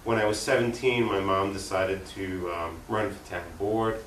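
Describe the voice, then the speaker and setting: A man from Long Island, New York, giving conversational, explanatory interview answers with halting pauses. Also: sits low, a bit bright. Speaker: interview guest, political activist. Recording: roomy, distant mic, faint noise.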